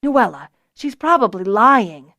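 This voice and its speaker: A woman voicing an angry, protective and suspicious man with an accusing, forceful manner, in an audiobook story. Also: a little high, sing-song, measured pace, no echo, studio quality, heavily acted. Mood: stern, concerned.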